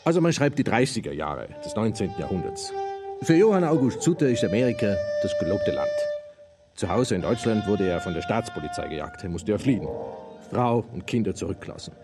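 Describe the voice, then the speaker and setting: Low, animated earnest storyteller. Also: male voice, fairly quick, over music. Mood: enthusiastic. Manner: expressive, earnest. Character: ambitious male film director, vivid storyteller. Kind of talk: radio drama acting, theatrical storytelling.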